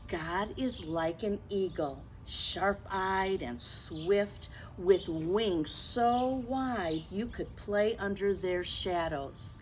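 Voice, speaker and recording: Slow-paced woman telling stories to children. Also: medium pitch, slight background noise. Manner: deliberate, expressive, addressing young children directly. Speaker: children's storyteller and religious educator. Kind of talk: children's story time, read-aloud and simple faith talk.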